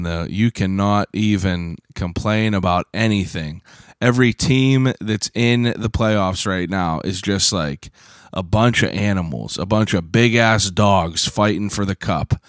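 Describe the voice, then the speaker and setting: A man in his thirties from Pittsburgh, hosting a podcast in a casual, rambling solo talk, hoarse from shouting, swearing freely and filling gaps with "uh". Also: deep voice, slow pace, dry recording, studio quality. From Pittsburgh, USA. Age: 30s. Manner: rambling, profane, self-deprecating; frequent "uh" fillers and throat clearing. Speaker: podcast host.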